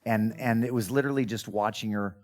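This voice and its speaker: Middle-aged male entertainer, very low voice, chatty interview storyteller. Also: a little fast. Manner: animated storyteller who acts out quoted dialogue. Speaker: magician guest telling his life story.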